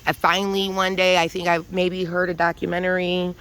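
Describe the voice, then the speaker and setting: A woman's voice: on the low side, flat delivery, brisk, fairly bright tone, clean tone. A woman giving an emphatic, profane spiritual monologue with many restarts, rambling and preachy. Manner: intense and preachy. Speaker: vlogger.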